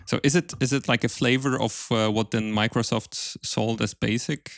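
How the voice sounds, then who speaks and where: A man's voice: mid-range voice, fairly even intonation, normal speed, creaky, dry recording. Man, close dry sound. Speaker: podcast host, interviewer. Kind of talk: conversational interviewing.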